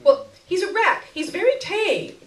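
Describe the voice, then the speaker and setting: A woman's voice: very high voice, lively intonation, a little slow, pitched above the performer's own voice. A woman reading a story aloud, voicing an anxious, needy woman teacher with a strained, quavering voice and plaintive prattle. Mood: tearful, shrill. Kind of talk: live short-story reading. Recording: echoey room, miked from a distance.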